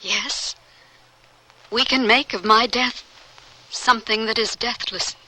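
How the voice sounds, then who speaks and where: A woman's voice: fairly high pitch, steady tone, slow, pushed and bright, exaggerated voice, poor audio. An actress playing a dying woman scientist and devoted wife, intense and declamatory in old-time radio drama style. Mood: fervent, tender.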